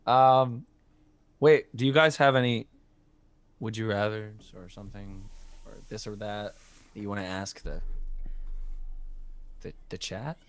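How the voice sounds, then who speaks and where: A man's voice: on the low side, fairly even intonation, measured pace, long pauses. Joking young man hosting a livestream. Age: 20s. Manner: joking, reads chat aloud. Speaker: livestream host. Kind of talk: casual group chat.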